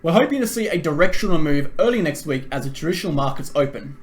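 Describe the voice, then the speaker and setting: A man presenting a crypto market analysis in a brisk, emphatic, repetitive way, his voice a little hoarse from talking a lot. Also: fairly high pitch, expressive. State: voice going a bit hoarse.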